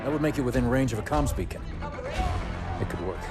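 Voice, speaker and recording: A man's voice: mid-range voice, animated, quick pace, close to an everyday voice. An actor playing a level-headed male starship captain in a video game, giving clipped, authoritative orders. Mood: grave. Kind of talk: cinematic video game acting. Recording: loud music over the voice.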